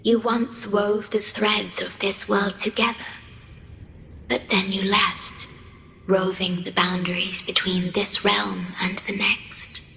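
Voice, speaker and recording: Game guardian woman: gentle, sorrowful, breathy yet bright, slow delivery in a roomy echo. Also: mid-range voice, flat delivery, slight background noise, full character voice. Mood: solemn, wistful. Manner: soft, breathy, solemn and measured delivery. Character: gentle, sorrowful female guardian of an island, devoted and reserved. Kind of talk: video game character acting.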